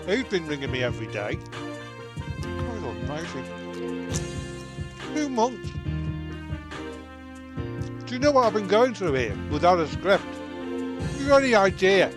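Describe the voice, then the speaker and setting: A man's voice: high voice, very animated, measured pace, pauses often. A man playing an exasperated, foul-mouthed character ranting repetitively in a comedy radio play monologue. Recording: loud music over the voice.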